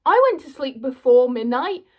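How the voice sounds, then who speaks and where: High-voiced chatty young woman. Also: lively intonation, moderate pace. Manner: chatty, self-mocking, lots of "like" and "okay?". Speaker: vlogger. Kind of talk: casual chatty commentary.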